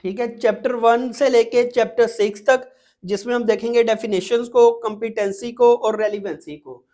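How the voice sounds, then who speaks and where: A man's voice: very high voice, fairly even intonation, normal speed, warm tone. A man with a Pakistani accent giving an exam-prep lecture, explaining step by step as a teacher and often repeating points with little check-in words.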